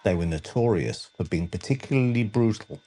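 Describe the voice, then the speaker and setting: A man narrating a scripted countdown documentary with a measured, dramatic delivery. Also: on the low side, expressive, mellow. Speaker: narrator and channel host.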